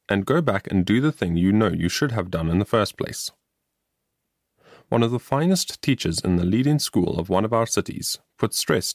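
A man narrating a scripted moral story as an audiobook, in a measured, earnest and formal reading manner. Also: on the low side, no echo, clean studio sound.

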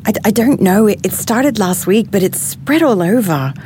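A woman's voice: mid-range voice, expressive, moderate pace, background noise, lightly acted. An actress playing an anxious, apologetic pregnant woman in an audio drama, speaking hesitantly with stammers. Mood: uneasy, sheepish.

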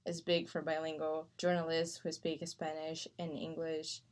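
A woman's voice: low-pitched, monotone, normal speed. A woman whose first language is Portuguese, hosting a podcast interview in an encouraging, chatty way. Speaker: podcast host. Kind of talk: casual interview talk.

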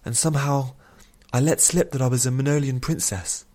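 A man's voice: mid-range voice, fairly even intonation, fairly quick, a little mellow, voice pushed up, close to an everyday voice. A man voicing a young runaway princess in a storybook, agitated, pleading and guilty. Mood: tearful confession.

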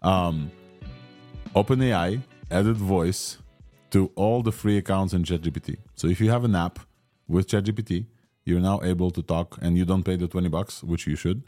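Low-voiced man with a Ukrainian Israeli accent. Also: normal speed, warm tone, soft background music. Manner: rambling, many fillers and restarts. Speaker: podcast host. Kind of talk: casual tech podcast talk.

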